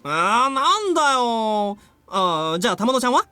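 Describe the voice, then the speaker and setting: A woman's voice: a little high, sing-song, fast, bright, forceful tone, clean tone, no room sound, soft background music. A woman playing a brash, playful teenage school friend in an anime-style game, blunt and teasing. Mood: teasing, casual. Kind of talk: anime-style visual novel acting.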